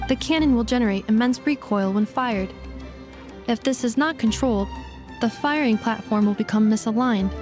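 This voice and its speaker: A woman's voice: fairly high pitch, lightly acted. A woman voicing a precise, polite female android helper in a video game cutscene, formal, literal and clipped. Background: game music.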